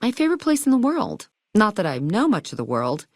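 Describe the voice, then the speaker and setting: A woman's voice: medium pitch, huge pitch swings, a little fast, darkish tone, no echo, clean studio sound. A woman playing a perky, eager and quirky hotel keeper in a video game, chatty and full of chirpy salesmanship and playful old-fashioned slang. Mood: fond, proud. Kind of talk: video game dialogue lines.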